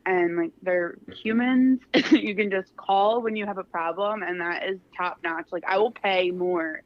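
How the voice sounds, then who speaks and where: A woman's voice: mid-range voice, a little slow, highs cut off. A woman with a Philadelphia accent, a podcast guest chatting casually and laughing often. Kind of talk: casual chat.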